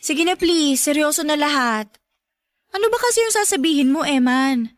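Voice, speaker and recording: A woman's voice: high voice, lively intonation, normal speed, no echo. A woman acting a sweet, modest, earnest young woman in a Filipino radio drama. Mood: impatient.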